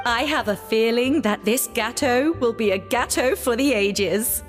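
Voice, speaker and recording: Blunt female judge voiced by a woman, fairly high voice, reverb and music behind. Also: a little slow, lightly acted. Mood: critiquing.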